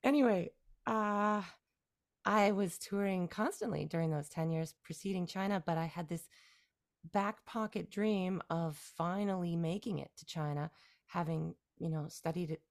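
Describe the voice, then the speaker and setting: A woman from Canada in her forties, a musician guest talking through her career in a relaxed, rambling way with fillers and restarts. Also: fairly low pitch, moderate pace, gravelly. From Canada, near Toronto. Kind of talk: casual interview conversation.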